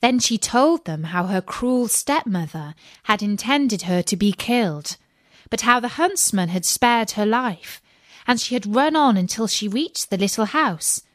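A woman narrating a scripted children's audiobook as an expressive, measured storyteller. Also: mid-range voice, no room sound, clean studio sound.

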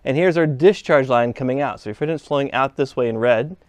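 A man's voice: mid-range voice, fast, no echo. Man explaining hands-on in a dry room. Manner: plain, step-by-step explaining while handling parts. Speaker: HVAC instructor. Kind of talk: hands-on technical demonstration. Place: workshop bench.

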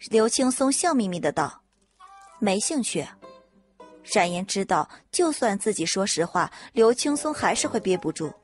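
A woman narrating a web audio novel in an even storytelling manner. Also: medium pitch, normal speed, few pauses, faint music behind.

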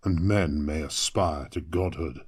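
A man voicing a grim, ambitious Chaos warrior in dark fantasy audio fiction, solemn and declaiming, his voice pitched down. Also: unhurried, slightly rough, heavily acted. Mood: grim resolve.

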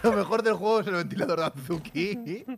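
A man bantering excitably with friends as a player in a group game. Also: sits high, brisk, creaky.

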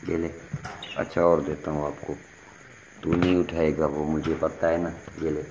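Low-voiced guy sweet-talking his parrots for the camera, echoey phone sound, lots of yard noise. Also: flat delivery, normal speed. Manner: coaxing and chatty, talking to his pet birds. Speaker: animal vlogger. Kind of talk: casual vlog narration to viewers. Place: outdoor yard by bird cages. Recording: roomy phone audio with loud yard noise.

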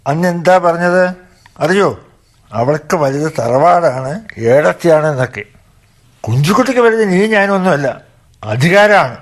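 A man's voice: fairly high pitch, lively intonation, normal speed. A man with a Kerala accent playing a bitter, sardonic middle-aged village father in a radio drama. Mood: bitter, scornful. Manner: sardonic, scornful. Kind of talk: Malayalam radio drama acting.

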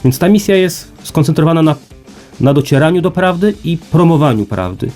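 A man's voice: a little high, lively intonation, normal speed, darkish tone, soft background music. A man speaking as an institute representative in an interview, explaining formally and emphatically with rhetorical repetition and lists.

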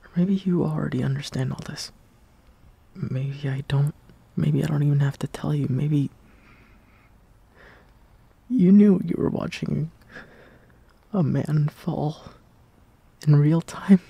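A young man performing an intimate roleplay monologue as a lovestruck, insecure friend, in a hesitant, breathy half-whisper that trails off. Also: fairly high pitch, takes it slowly, long pauses, dark tone, dry recording. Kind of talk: soft intimate roleplay monologue, partly improvised.

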